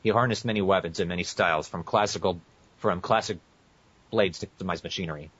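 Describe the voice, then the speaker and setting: A man's voice: sits low, fast, fairly bright tone, slightly rough. A man co-hosting a casual podcast, chatting with the others and sometimes reading aloud. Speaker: podcast co-host. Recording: muffled lo-fi internet podcast audio.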